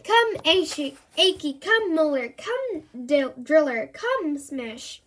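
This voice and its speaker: A woman doing a lively, airy tooth fairy calling out. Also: high voice, voice pushed up, full character voice. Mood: brisk. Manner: brisk calling out.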